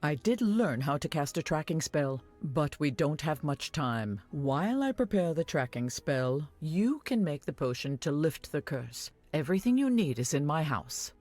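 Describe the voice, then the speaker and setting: An actress playing an older village wise woman in a video game, caring and earnest, giving measured instructions. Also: low-pitched, very animated, darkish tone. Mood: earnest, urgent. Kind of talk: scripted video game dialogue. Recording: music behind the voice.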